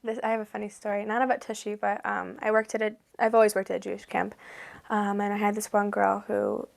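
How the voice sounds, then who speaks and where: A woman's voice: medium pitch, flat delivery, a little fast, creaky. A woman in her twenties, a camp counselor from Michigan, telling a chatty anecdote in a recorded interview. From Southeast Michigan. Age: 20s. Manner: chatty storytelling with fillers like "um" and "like".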